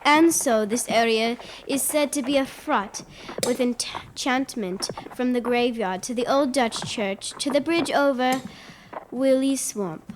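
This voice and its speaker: A woman's voice: high-pitched, slow pace, background noise. A female actor playing a cautioning village midwife and widow in a school radio play.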